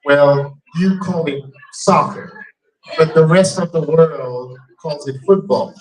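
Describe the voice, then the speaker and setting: Man, fairly high voice, live storyteller picked up from a distant mic, self-mocking delivery. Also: slow. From Haiti. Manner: conversational, self-mocking, builds to punchlines. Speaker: timekeeper and storyteller. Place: restaurant event room.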